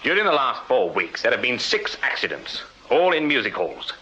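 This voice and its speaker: Sharp, forceful, sardonic detective sergeant. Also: male voice, mid-range voice, big pitch swings, moderate pace. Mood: brisk, commanding. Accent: English. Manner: dry, authoritative, clipped. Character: shrewd, brisk, sardonic Victorian detective sergeant. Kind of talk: British period radio drama acting. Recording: slightly dull, hissy radio-drama recording.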